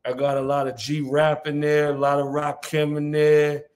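Male rapper guest, 40s, American accent, fairly high voice, slangy storyteller in relaxed video-call interview chat. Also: normal speed. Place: dim large room indoors, on a video call.

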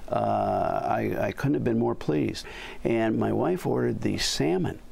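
Man, older, gravelly, lowish pitch, dinner-table chat. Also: animated, unhurried. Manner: warm, hesitant fillers, enthusiastic praise. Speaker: guest reviewer.

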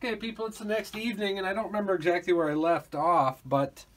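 A man's voice: high voice, level tone, fast talker, a bit bright. A man giving casual, rambling commentary as he works hands-on at a workbench, thinking aloud informally. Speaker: repair video host, technician. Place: small indoor workshop at a workbench. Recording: clear background noise, handling rustle.

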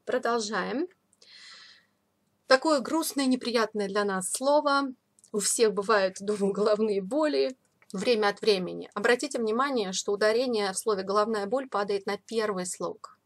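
A woman teaching a vocabulary lesson in an informal, explanatory way, repeating key words slowly for learners. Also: medium pitch. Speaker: English teacher. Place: voice-over of a screen recording.

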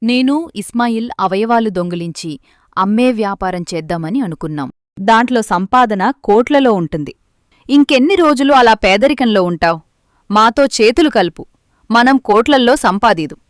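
A woman playing a greedy, scheming young daughter-in-law who talks persuasively in an animated moral story. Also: a little high, normal speed, dry recording, clean studio sound, natural voice. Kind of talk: acted dialogue in a Telugu moral story.

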